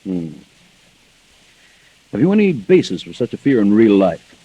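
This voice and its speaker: A man's voice: lowish voice, big pitch swings, normal speed, slightly rough, highs cut off. A man playing an avuncular family doctor in a 1940s radio drama, soothing and a little dismissive. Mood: probing, dismissive. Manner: chuckling, soothing, patronizing. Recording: vintage 1940s radio recording.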